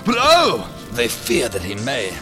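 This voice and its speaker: An actor playing a theatrical mad captain who raves in florid, declamatory riddles in an audio drama. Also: male voice, mid-range voice, wildly swooping pitch, measured pace, over music. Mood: agitated, manic.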